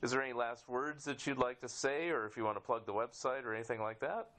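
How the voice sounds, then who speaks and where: Guy hosting a casual podcast, normal mid pitch, chuckles a lot. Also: fast talker, a bit bright. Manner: chuckles often. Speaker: podcast host. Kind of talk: casual interview with sign-off.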